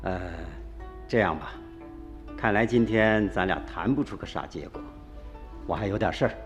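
A man's voice: fairly low pitch, moderate pace, soft background music, close to an everyday voice. An actor playing a cautious, shrewd male antiques dealer bargaining hard in a radio drama. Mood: wary.

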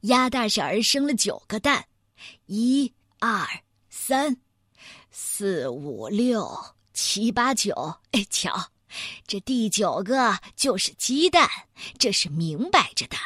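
A woman's voice: mid-range voice, very animated, slow, a bit bright, husky, no room sound, clean studio sound, full character voice. A woman voicing a pompous, hot-tempered male mole scientist who declaims with self-important indignation. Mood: indignant.

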